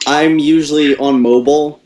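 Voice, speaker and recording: A man casually explaining audio matters on a group call, halting and repeating words as he goes. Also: on the high side, steady tone, a little mellow. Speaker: audio technician advising a voice cast. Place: echoey room, on a voice call. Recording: echoey room over internet call.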